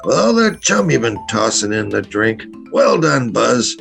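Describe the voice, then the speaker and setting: A man's voice: mid-range voice, very animated, unhurried, gravelly, a bit bright, faint music behind, exaggerated voice. A man playing a hearty, folksy tour boat captain in a radio play. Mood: jovial.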